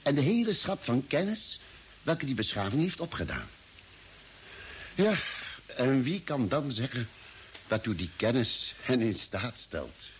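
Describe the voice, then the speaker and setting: An actor playing an earnest spaceship doctor in an old radio play, explaining deliberately with dramatic pauses. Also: male voice, medium pitch, big pitch swings. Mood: grave, pondering. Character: earnest spaceship doctor, reasoning out a grim theory. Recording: hissy vintage radio drama recording.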